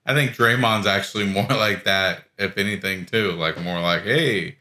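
A man's voice: fairly low pitch, normal speed, bright, forceful tone. A man chatting informally about sports, as a co-host trading casual remarks with friends. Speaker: podcast co-host. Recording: roomy echo.

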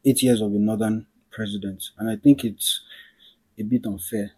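Mellow husky man talking politics. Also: on the low side, fairly even intonation, moderate pace, low-quality recording. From Nigeria. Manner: thoughtful, self-correcting, trails off quietly. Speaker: podcast host. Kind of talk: casual political chat.

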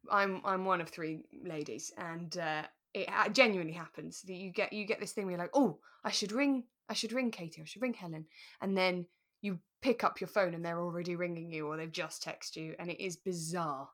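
A woman's voice: fairly low pitch, a little fast, a bit bright. A British woman co-hosting a podcast in casual, chatty conversation, sometimes acting out short quotes. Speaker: podcast co-host. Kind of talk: casual chat about a radio drama.

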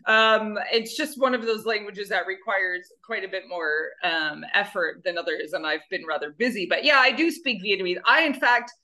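Woman, bright tone, average pitch, rambling and chatty, podcast guest. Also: normal speed, few pauses. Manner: chatty, rambling, self-deprecating. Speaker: podcast guest, animal rescue founder. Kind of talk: casual interview chat.

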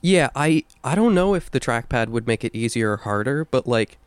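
A man's voice: mid-range voice, expressive, normal speed, smooth, dry recording. A young man co-hosting a casual video game podcast, opinionated and swearing freely. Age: young adult. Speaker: podcast co-host. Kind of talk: casual chat about video games.

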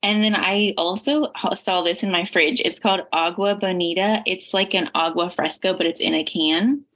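A woman's voice: on the low side, moderate pace, a bit bright. Chatty podcast co-host woman. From Indiana. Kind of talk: casual chat.

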